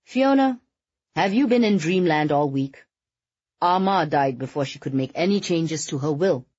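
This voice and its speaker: A bossy, status-hungry dad played by a woman narrator scolds imperiously in a husky voice. It is an audiobook character, recorded very dry. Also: low voice, moderate pace, a little mellow, no echo, muffled recording. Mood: exasperated, scheming. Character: bossy, status-obsessed, irritable father.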